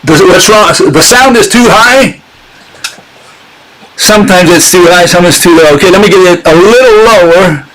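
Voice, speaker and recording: A man's voice: high-pitched, moderate pace, a bit bright, rough recording. A man hosting a casual live-streamed talk, sarcastic and chatting with his viewers as he goes. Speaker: channel host giving a critique.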